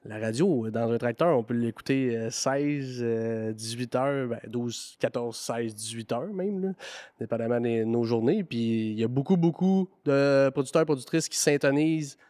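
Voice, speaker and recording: A man's voice: mid-range voice, moderate pace, mellow, dry recording. A man with a Quebec French accent, a farmer hosting a podcast in loose, chatty talk full of fillers and restarts. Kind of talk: casual podcast chat.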